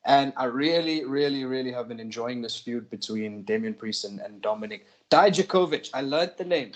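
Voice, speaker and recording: A man's voice: mid-range voice, normal speed. A man co-hosting a wrestling predictions podcast, thinking aloud as he weighs his picks. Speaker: podcast co-host. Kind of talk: casual wrestling predictions chat.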